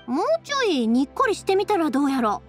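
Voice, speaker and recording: An actress playing a cheerful, big-hearted young fireworks maker in an anime game, speaking with a Kansai accent. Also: female voice, high voice, quick pace, soft background music. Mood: reassuring. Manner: lively, friendly, expressive dialect talk. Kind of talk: Japanese anime game voice acting.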